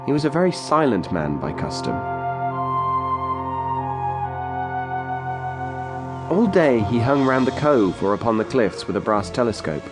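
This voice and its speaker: An actor narrating as a grown man recalling his boyhood, in a measured, literate storytelling style for an audio drama. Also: mid-range voice, very animated, close to an everyday voice. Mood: descriptive. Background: music.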